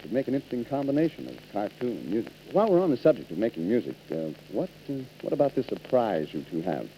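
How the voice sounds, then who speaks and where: A man's voice: medium pitch, sing-song, fast, dark tone. A man interviewing guests as a 1940s radio announcer, working from a script. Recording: dull, noisy 1940s radio broadcast.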